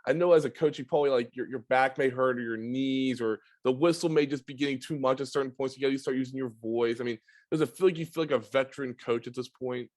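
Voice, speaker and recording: A man hosting a high school basketball podcast, asking long questions padded with fillers. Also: steady tone, fast, a little mellow, no echo. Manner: chatty, filler-heavy questions. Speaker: podcast host. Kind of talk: sports interview podcast.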